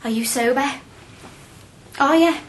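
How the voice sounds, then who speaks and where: A high-pitched young Liverpudlian woman in a radio play. She is a working-class student, spirited and earnest, and speaks bluntly, with impatient passion. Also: unhurried, noisy background. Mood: urgent. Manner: blunt, impassioned. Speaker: radio drama actress. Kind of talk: naturalistic radio drama acting.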